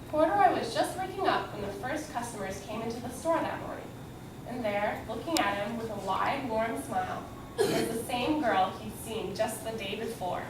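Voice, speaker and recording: A fairly high-voiced woman reads a children's book aloud as a storyteller. She sounds away from the mic, with heavy echo in the room. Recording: echoey, noisy room, distant mic.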